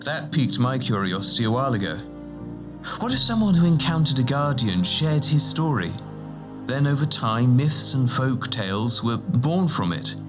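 A man's voice: mid-range voice, big pitch swings, moderate pace, pitched above the performer's own voice, lightly acted. A man voicing a timid, scholarly fellow who speaks in wordy, formal, tentative phrases for an audiobook. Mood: musing. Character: hesitant, scholarly, timid man with pedantic courtesy. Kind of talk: audiobook character dialogue. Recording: music bed behind the voice.

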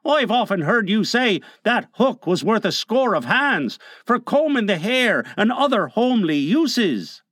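A man's voice: high voice, very animated, unhurried, few pauses, fairly bright tone, no room sound, clean studio sound, voice pushed up, full character voice. A man voicing a simple, chummy pirate boatswain in a storybook reading, earnest and guileless.

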